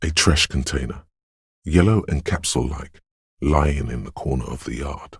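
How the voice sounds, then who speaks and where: Video game inner voice of intuition: extremely low, husky and gravelly, brooding, dreamlike and ominous. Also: male voice, no room sound, studio quality, heavily acted. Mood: brooding, mysterious. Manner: slow, hushed, ominous, dreamlike, deliberate. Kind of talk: scripted video game narration in second person.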